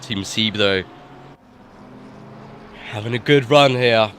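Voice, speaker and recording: A man commentating a sim racing broadcast live, sounding nasal from a cold, rising in excitement at overtakes. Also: on the low side, a little hiss or hum. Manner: excited rises at overtakes, quick asides. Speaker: race commentator.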